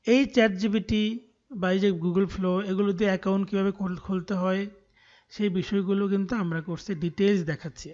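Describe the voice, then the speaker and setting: Fast, high-pitched Bangladeshi man tutoring. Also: darkish tone. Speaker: online course tutor. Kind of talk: screen-recorded tutorial walkthrough. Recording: dull, muffled lo-fi voice recording.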